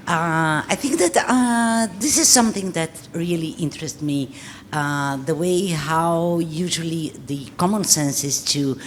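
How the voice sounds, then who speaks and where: Woman speaking english at a gallery panel, low voice, slow and thoughtful, faint hiss. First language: Portuguese. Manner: earnest, thinking aloud with many pauses. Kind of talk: public panel talk. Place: art gallery talk before an audience.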